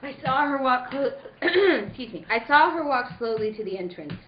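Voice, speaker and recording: Woman doing scared wife in old radio play, high voice, pleading and dramatic, echoey muffled recording. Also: expressive, normal speed. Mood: uneasy narrating. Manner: melodramatic, breathless pleading and narrating. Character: frightened, pleading young wife trapped in a nightmare. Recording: dull, echoey room recording.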